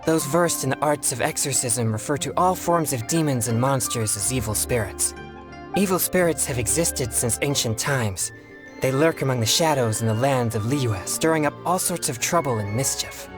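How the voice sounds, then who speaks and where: An actor playing an earnest, polite, easily flustered teenage exorcist boy in a video game, speaking in a formal, measured way. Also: medium pitch, close to an everyday voice. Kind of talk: video game character dialogue. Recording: music behind the voice. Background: game music.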